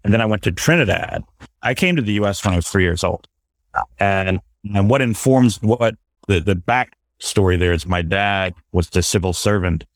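A man's voice: sits low, moderate pace, no echo. A man born in Barbados and raised in Brooklyn, talking earnestly and upbeat as an interview guest. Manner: upbeat, earnest, repeats words as he starts. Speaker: guest, sustainability advisor. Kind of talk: casual interview talk. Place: Williamsburg, Brooklyn, remote call.

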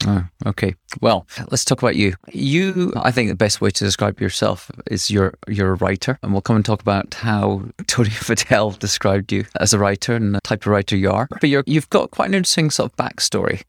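A man hosting a podcast, reading a scripted guest introduction, then chatting in a measured way. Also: fairly low pitch, expressive, gravelly, dry recording, clean studio sound. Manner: measured, reads a list-like introduction. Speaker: podcast host. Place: Austin, Texas.

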